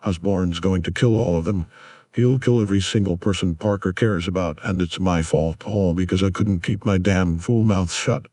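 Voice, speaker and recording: Male actor as older newspaper boss, low voice, gruff, guilt-ridden, close dry recording, comic dub. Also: moderate pace, a little mellow, no echo. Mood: anguished.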